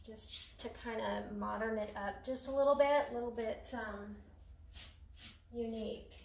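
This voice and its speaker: A middle-aged woman chatting casually to a live stream while working, unhurried and trailing off as she demonstrates a craft. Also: on the high side. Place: indoor craft paint shop. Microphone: phone or camera a few feet away. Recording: roomy echo, clear noise, clicks.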